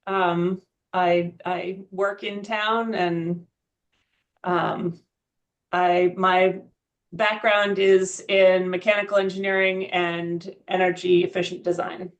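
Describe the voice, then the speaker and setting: A woman's voice: lowish voice, level tone, slow pace, lo-fi sound. A woman from New England giving a brief, hesitant self-introduction on a video call. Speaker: board applicant.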